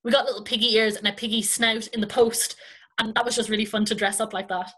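A woman's voice: on the high side, flat delivery, fast talker, a bit bright, husky. An Irish woman, a children's performer hosting a podcast, chatting casually. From Ireland.

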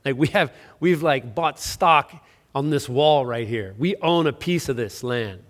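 A man's voice: medium pitch, measured pace. A middle-aged man preaching a sermon as pastor, conversational and self-deprecating, with frequent restarts and fillers. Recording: long reverb of a large hall.

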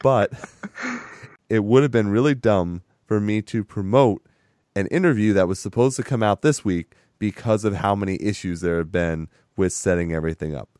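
A man hosting a casual podcast chat with a hoarse, raspy voice that is strained from sickness; he talks in a chatty, rambling way and laughs often. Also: fairly low pitch, moderate pace, a little mellow, no room sound, studio quality. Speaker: podcast host.

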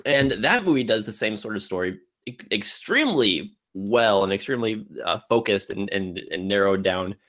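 A man hosting a casual film discussion, speaking haltingly and often repeating words as he works toward his point. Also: fairly low pitch, lively intonation, a bit bright. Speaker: film podcast host.

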